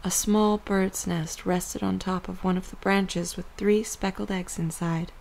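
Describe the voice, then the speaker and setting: Woman reading story narration for an audio drama, creaky voice, middle pitch. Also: little pitch movement, measured pace, darkish tone, low-quality recording.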